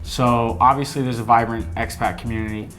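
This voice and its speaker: A man co-hosting a casual chat, relaxed and rambling, with fillers and restarts. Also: medium pitch, a little fast, faint music behind. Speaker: co-host.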